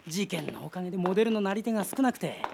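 A gravelly, lively voice a flippant agency manager who jokes casually. Clear noise sits behind the voice in this radio drama. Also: female voice, on the low side, quick pace. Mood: breezy. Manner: casual and joking.

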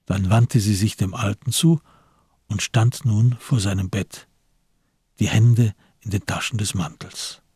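A man's voice: lowish voice, unhurried, a little mellow, slightly rough, no echo, clean studio sound. A man narrating a radio crime drama in scripted, literary prose.

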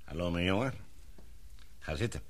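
A dignified, fatherly older gentleman played by a man in a Dutch radio play. His voice is on the low side and his speech is formal and measured. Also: lively intonation, slight background noise. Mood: fatherly, serious. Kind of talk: Dutch radio drama acting.

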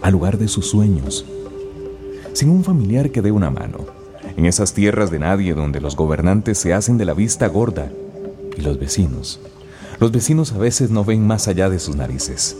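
Deep, expressive male radio narrator. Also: moderate pace. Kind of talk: dramatic scripted documentary narration. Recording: music behind the voice.

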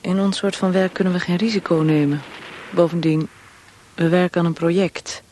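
An actress playing a cold, taunting secret police interrogator in a radio drama, speaking with controlled, coolly formal menace. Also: female voice, on the low side, fairly even intonation, moderate pace, warm tone, lightly acted. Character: cold, mocking female secret police interrogator, perfectionist and cruel. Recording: noisy radio play recording with clicks.

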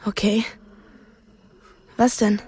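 A woman's voice: mid-range voice, little pitch movement, extremely slow, darkish tone, husky. A young actress playing a stubborn, curious woman lost in a cave, terse and questioning, in naturalistic radio drama style. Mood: curious, hesitant. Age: young adult.

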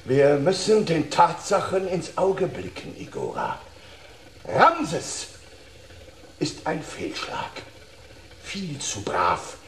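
High, creaky megalomaniac mad scientist. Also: male voice, huge pitch swings, takes it slowly, pauses often, roomy sound, slight background noise, heavily acted. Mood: scheming. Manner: melodramatic, theatrical, commanding. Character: megalomaniac mad scientist, ranting villainous doctor. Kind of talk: German radio drama acting.

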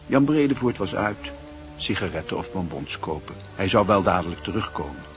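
A man's voice: low voice, big pitch swings, normal speed, faint music behind. A man narrating a literary radio play in a scripted storytelling style.

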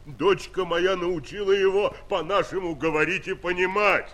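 A man's voice: high voice, fairly even intonation, a bit bright. An actor playing a good-natured, homely farmer and proud father in a children's audio play. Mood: proud, boastful. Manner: unhurried, homely.

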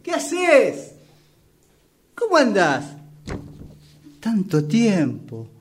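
A man's voice: high-pitched, huge pitch swings, very slow, husky, heavily acted. A man with a Rioplatense Argentine accent acting a chatty, over-familiar, effusive acquaintance in a radio play. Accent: Rioplatense Argentine Spanish. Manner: effusive, chummy.

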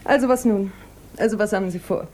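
An actress playing an elegant, managing and evasive lady in a radio play, brisk and formally polite. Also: medium pitch, darkish tone, lightly acted. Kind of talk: German radio play acting.

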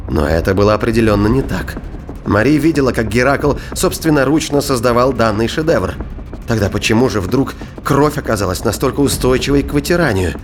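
A man's voice: mid-range voice, slight background noise. A man reading scripted narration for a science-fiction thriller audio drama. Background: music.

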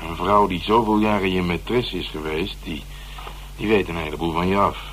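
Blunt gruff detective in a radio play, deepish voice, teasing slangy talk, bit of tape hum. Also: male voice, fairly quick, a bit bright. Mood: wry, pondering. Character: blunt, teasing male police detective nicknamed the Dragon. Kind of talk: radio drama acting. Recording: noisy, humming old radio play tape.

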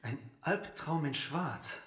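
An actor playing an earnest uniformed police officer in a German radio crime drama, plain and deferential. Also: male voice, on the high side, expressive, slow, a bit bright, husky, exaggerated voice. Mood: appalled.

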